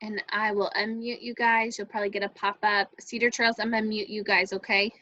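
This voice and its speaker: A woman's voice: medium pitch, flat delivery, brisk, bright, forceful tone. Informal woman on a dull lo-fi call. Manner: frequent "like" filler, informal. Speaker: rehearsal co-organizer. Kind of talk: casual chat.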